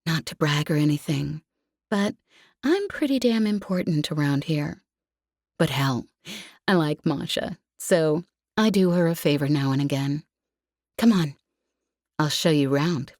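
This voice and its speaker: Brash female smuggler pilot acted by a woman reader, space opera audiobook. Swaggering, sardonic, low register, dry close sound. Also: measured pace, long pauses, a little mellow, dry recording. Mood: smug, taunting. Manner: swaggering, sarcastic, casually profane.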